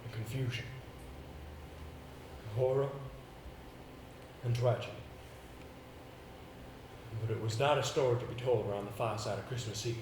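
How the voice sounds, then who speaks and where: A male stage actor playing a haunted, earnest gentleman, telling his tale with deliberate, dramatic phrasing and halting repetitions. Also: mid-range voice, lightly acted. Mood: agitated, haunted. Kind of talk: theatrical stage monologue and dialogue. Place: indoor theatre stage, recorded live. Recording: echoey stage recording with background noise.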